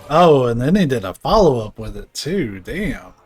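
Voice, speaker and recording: A man's voice: mid-range voice, big pitch swings, moderate pace. A man streaming a video game talks in a mid-range voice. His commentary is casual, informal and reactive, with game music behind him. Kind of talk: casual live gameplay commentary.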